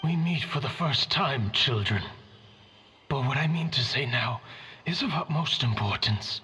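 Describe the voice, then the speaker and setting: An actor voicing the solemn, melancholic mind fragment of a dead scholar in a game, with a measured, theatrical delivery that sinks toward breathy near-whispers. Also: male voice, mid-range voice, animated, sharp tone, full character voice. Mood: solemn, stately. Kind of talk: dramatic game voice acting, slow monologue.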